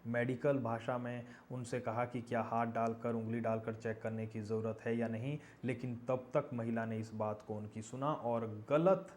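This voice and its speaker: A man's voice: mid-range voice, little pitch movement, moderate pace, hardly any pauses, a little mellow, slight background noise. A man presents news commentary to viewers, stressing key phrases and posing rhetorical questions.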